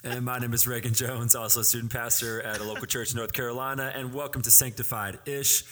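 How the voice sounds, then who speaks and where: A man's voice: medium pitch, little pitch movement, fast talker, sharp tone. A man in his twenties, a student pastor, co-hosting a casual podcast and speaking earnestly with many fillers and restarts. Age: 20s.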